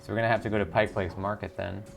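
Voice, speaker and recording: A man's voice: fairly low pitch, little pitch movement, very fast, vocal fry, faint music behind. A man hosting a gaming video, casually commenting and reading game text aloud, often muttering to himself as he thinks.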